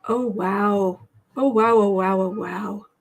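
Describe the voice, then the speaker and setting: An American woman hosting a casual tarot livestream, chatting haltingly as she comments and reads out viewer messages, often repeating her words. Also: medium pitch, steady tone. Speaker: host of a live tarot and astrology show.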